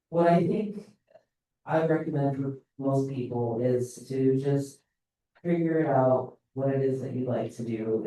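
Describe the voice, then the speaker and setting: A man speaking on a panel in a relaxed, reflective way with light fillers. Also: medium pitch, a little hiss or hum. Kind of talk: panel discussion. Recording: echoey hall, mic at a distance.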